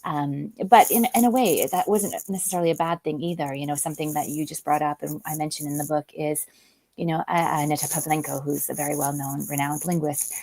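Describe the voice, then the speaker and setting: A woman speaks low and unhurried, circling her thoughts with soft fillers and restarts. Her voice sits close and dry, with no room around it. She sounds like a guest reflecting aloud in an interview. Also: steady tone, no echo. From Canada. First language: Polish. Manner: thoughtful, many ums and restarts. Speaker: guest author and linguist. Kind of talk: conversational interview.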